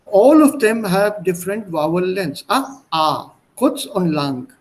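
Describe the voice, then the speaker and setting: A man's voice: high-pitched, expressive, measured pace. A man with an Indian accent teaching an online language lesson in a didactic way, often repeating and demonstrating points.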